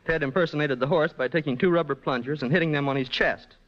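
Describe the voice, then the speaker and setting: A man's voice: fairly high pitch, fast, a bit bright. A man giving scripted explanations as a guest expert on a 1940s radio show. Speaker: sound effects department head, guest explainer. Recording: dull, noisy old radio recording.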